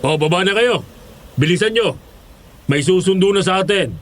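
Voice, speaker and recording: A man playing a gruff, bossy, evasive overseer of hired cooks in an audio drama, with a Filipino accent and a curt manner. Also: high voice, lively intonation, moderate pace, a little hiss or hum, voice pushed up. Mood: barking orders. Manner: curt, commanding.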